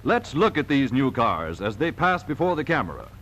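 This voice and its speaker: A man's voice: mid-range voice, very animated, normal speed, a bit bright, highs cut off, slight background noise. A man reading scripted narration for a 1940s sales film in an emphatic, polished salesman's delivery, on a vintage film soundtrack.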